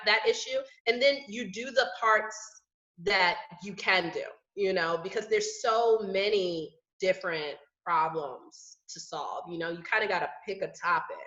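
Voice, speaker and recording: A woman's voice: medium pitch, a bit bright. A woman guest, a business owner, talks reflectively and fills her sentences with "you know". Her voice comes through a roomy echo and muffled, lo-fi audio. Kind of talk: casual conversation.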